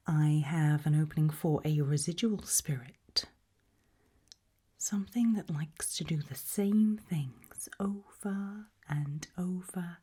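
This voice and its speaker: A British woman doing an ASMR roleplay in a breathy whisper, putting soft questions to the listener with deliberate pauses. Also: low-pitched. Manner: slow, deliberate, with long pauses.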